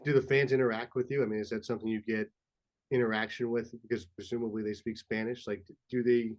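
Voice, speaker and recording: A man's voice: mid-range voice, level tone, fast. Curious man hosting a podcast. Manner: enthusiastic, curious interviewer. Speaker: podcast host. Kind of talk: casual podcast chat.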